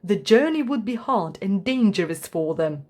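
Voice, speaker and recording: A woman's voice: medium pitch, lively intonation, normal speed, warm tone, close to an everyday voice. A woman voicing a grown male giraffe in a storybook, a firm father laying down decisions. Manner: firm, explaining.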